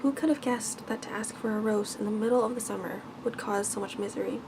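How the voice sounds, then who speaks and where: A woman voicing a brave, gentle and dutiful young woman in a storybook, speaking softly, earnestly and politely. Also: medium pitch, fairly even intonation, fast talker, background noise, close to an everyday voice. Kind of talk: bedtime storybook reading.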